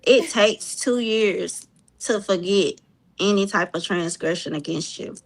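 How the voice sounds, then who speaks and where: A woman from Mississippi with a Southern accent, telling a story on a panel chat in an animated, emphatic way. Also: mid-range voice, unhurried, low-quality recording.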